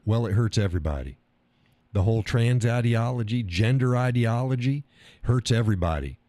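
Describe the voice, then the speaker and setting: A man's voice: mid-range voice, mellow, no echo. A man hosting a live stream show, talking bluntly and profanely. He hammers points by repeating phrases and reads out viewer chat comments.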